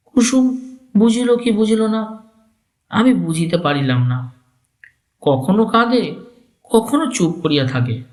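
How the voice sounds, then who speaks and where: An actor playing an elderly, coolly calculating village uncle in a dramatized literary story, speaking in formal, deliberate phrases. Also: male voice, high-pitched. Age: older.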